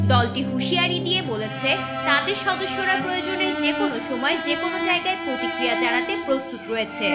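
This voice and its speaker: Animated high-pitched woman news narrator. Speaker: news reporter. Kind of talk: scripted news report narration. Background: loud music.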